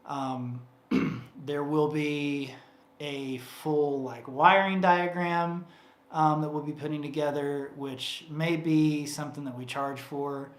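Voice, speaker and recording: Male co-host, casual livestream Q&A, rambling and chatty, slightly high voice, tiring throat, echoey room. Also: steady tone, takes it slowly. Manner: rambling and chatty, many fillers and restarts. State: voice getting tired. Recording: roomy echo.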